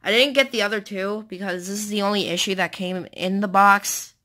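A woman's voice: mid-range voice, fairly even intonation, a little fast, pushed and bright. A young woman chatting casually and rambling with lots of fillers, sounding tired, recorded on a phone. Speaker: amateur comic dubber. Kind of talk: casual chat.

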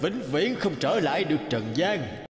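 A man voicing a stern but merciful lord of the underworld in a fairy-tale cartoon, slow and commanding. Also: a little high, big pitch swings, slightly rough, exaggerated voice. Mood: solemn warning. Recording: music under the voice.

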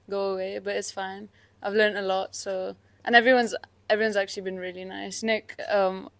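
A young woman player answering a press conference question in a shy, hesitant, soft-spoken way into a handheld mic in an indoor press room. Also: mid-range voice, no room sound. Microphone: handheld microphone.

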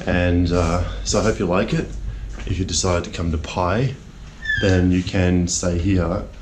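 A man's voice: low-pitched, steady tone, unhurried, noisy background. A man in his thirties chatting casually to camera as a travel vlogger, sounding weary, recorded on a camera held at arm's length. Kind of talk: casual vlog chat to camera. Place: outdoors by a street and in a hotel room. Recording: loud music over the voice.

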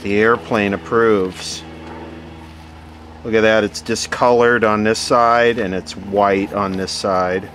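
A man giving casual, hands-on unboxing commentary, musing aloud in short phrases with pauses between them. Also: fairly low pitch. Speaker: vlogger unboxing vintage radio gear. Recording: steady background noise.

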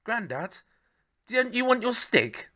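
An eager, caring little grandson from a children's storybook, put on by a man. The voice is lifted high and bright, with lively swings and an earnest, childlike tone. The sound is a touch lo-fi. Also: takes it slowly, dry recording, full character voice. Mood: concerned.

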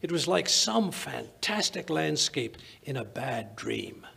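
An older man playing a puzzled, blustering English gentleman doctor in an old-time radio drama, narrating and sometimes stammering. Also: fairly high pitch, big pitch swings, slow pace, creaky, exaggerated voice. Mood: brooding narration. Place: small indoor stage. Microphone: stand microphone.

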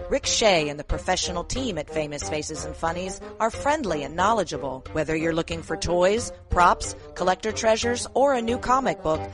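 A woman announcer reading scripted advertising narration. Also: lowish voice.

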